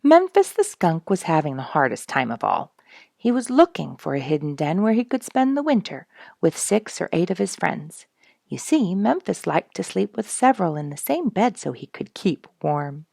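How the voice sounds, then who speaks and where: A woman reading a children's animal story in an expressive, scripted storytelling style, with a husky, creaky voice. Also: fairly low pitch, normal speed, no echo, clean studio sound. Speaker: storybook reader and host.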